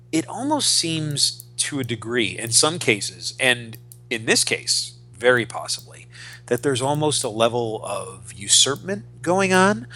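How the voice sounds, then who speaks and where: Bright-voiced man in casual podcast banter. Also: mid-range voice. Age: 40s. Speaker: podcast host. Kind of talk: casual opinionated podcast chat.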